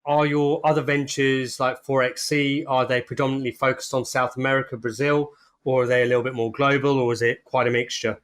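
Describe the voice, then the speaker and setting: A man's voice: mid-range voice, level tone, fairly quick, a bit bright. A male podcast host welcoming a guest and asking direct questions. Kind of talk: interview questions.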